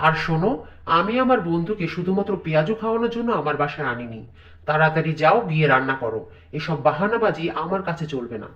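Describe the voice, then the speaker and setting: A man's voice: fairly high pitch, expressive, fast talker, a bit bright, far from the mic, muffled recording. A man voicing a domineering young husband in a cartoon, stern, irritated and giving orders. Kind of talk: Bengali cartoon acting.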